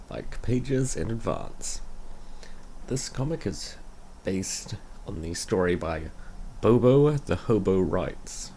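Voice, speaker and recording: A man's voice: on the low side, warm tone, a little hiss or hum. A man hosting and narrating a comic dub in a casual, story-reading style. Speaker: comic dub reader and channel host. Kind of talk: casual video intro and scripted first-person narration.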